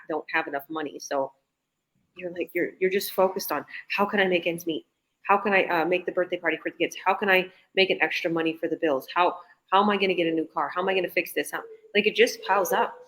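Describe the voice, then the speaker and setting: A woman's voice: lowish voice, quick pace, a bit bright, rough recording. A woman, an online business owner, chatting enthusiastically on a group video call with many restarts.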